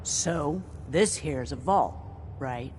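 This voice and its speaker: An actress playing a wary, plain-spoken wasteland settler in a video game, with a folksy, hesitant manner. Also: female voice, low-pitched, expressive, slow, darkish tone. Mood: wary, unsure. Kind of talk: video game character dialogue. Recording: faint background noise, game ambience.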